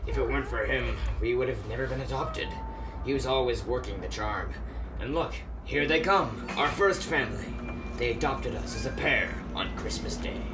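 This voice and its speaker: A man's voice: medium pitch, noisy background, natural voice. A man playing a grumpy, haunted miser cat with theatrical bluster in an amateur radio play.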